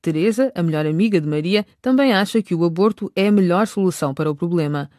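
A woman's voice: lowish voice, fast talker, a little mellow, no echo, studio quality. A woman narrating a radio drama from a script.

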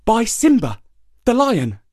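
A crafty storybook hare, as a male narrator puts him on, squeaks up high and pours on the flattery. His voice swoops and bounces with sly charm. The sound is close and dry. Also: slow pace, no echo, heavily acted. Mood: scheming. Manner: high, sugary, courtly wheedling. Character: sly scheming male hare, smooth talker.

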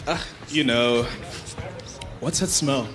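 Man acting a slow, laid-back young intern. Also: mid-range voice, a bit bright, soft background music. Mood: offhand. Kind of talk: live radio drama acting.

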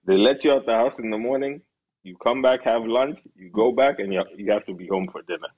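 A man from Kenya with a deep, husky voice tells his story loosely, full of "like" and "you know." He rambles and restarts often, and the sound has a radio broadcast feel. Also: a little fast, lo-fi sound. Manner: relaxed, rambling with many fillers and restarts. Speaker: farmer and entrepreneur, guest. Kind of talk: casual conversational storytelling.

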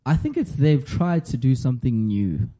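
Zulu-speaking man with a dark, worn voice. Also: medium pitch, moderate pace. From South Africa. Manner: laughs often, relaxed banter. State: strained voice from shouting. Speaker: podcast co-host. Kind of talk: casual chat. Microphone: studio mic.

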